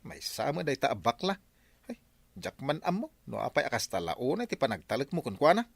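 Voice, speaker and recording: A man's voice: mid-range voice, big pitch swings, fairly quick, creaky, slightly rough, no room sound, full character voice. A man playing a mocking village husband with an Ilocano accent in a radio comedy, grumbling and exclaiming. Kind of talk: radio comedy drama acting.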